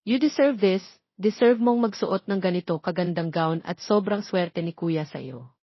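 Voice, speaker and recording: A woman's voice: on the low side, moderate pace, no room sound. A woman voicing a sweet, supportive young female friend, effusive and encouraging, in an audio story. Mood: admiring, encouraging. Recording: dull lo-fi audio, very dry.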